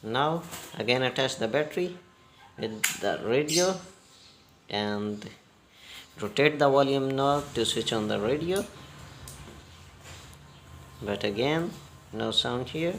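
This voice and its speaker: Slow, gravelly man giving halting instructions. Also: medium pitch, expressive, fairly bright tone, slight background noise. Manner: halting, step-by-step instructions in short phrases. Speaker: repair tutorial host. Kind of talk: unscripted hands-on repair demonstration.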